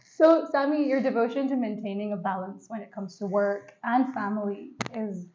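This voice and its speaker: A woman hosts a podcast in a polished, enthusiastic manner at a middling pitch. She reads a prepared introduction and then asks her guest questions. The sound is slightly dull and lo-fi. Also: moderate pace, darkish tone. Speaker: podcast host. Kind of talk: scripted introduction and interview questions.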